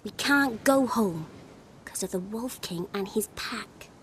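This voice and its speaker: A woman's voice: mid-range voice, expressive, slow pace, slight background noise. A woman voicing a lost little peasant girl in a fantasy game, innocent and fearful, with a simple, earnest, childlike manner. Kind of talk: cinematic fantasy game acting.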